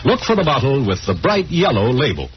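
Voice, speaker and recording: A man delivering a scripted 1940s-style radio commercial as a pitchman. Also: very animated, fairly quick, husky, no room sound. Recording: dull old radio transcription recording.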